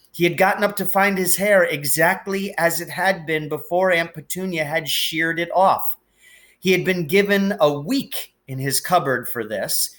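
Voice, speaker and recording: A man reading a children's novel aloud as a teacher would, with expressive narration and deliberate, emphatic phrasing. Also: a little high.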